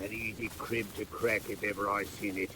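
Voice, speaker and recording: An actor playing a brutal, gruff burglar who threatens and snarls in a 1940s audio drama. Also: male voice, fairly low pitch, level tone, normal speed, sharp tone. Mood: low menace. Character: brutal, menacing male burglar, adult, bullying and violent. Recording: noisy vintage 1940s record.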